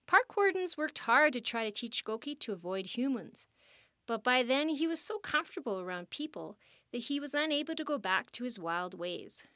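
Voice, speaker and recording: Animated woman storyteller, higher-pitched voice. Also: moderate pace, no room sound, studio quality. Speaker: park interpreter and storyteller. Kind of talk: scripted educational storytelling narration.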